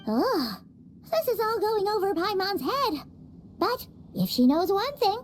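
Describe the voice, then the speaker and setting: A woman voicing a small, cheerful, childlike fairy companion in a video game, with a squeaky, excitable delivery. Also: a little hiss or hum, full character voice. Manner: talks about herself in third person, excitable. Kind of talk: video game cutscene voice acting. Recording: background music behind the voice.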